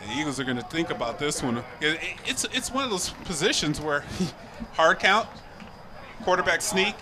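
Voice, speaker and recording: A man giving chatty, analytical color commentary on a high school football game from a press box, with outdoor noise behind him. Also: animated, moderate pace. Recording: noisy outdoor stadium feed.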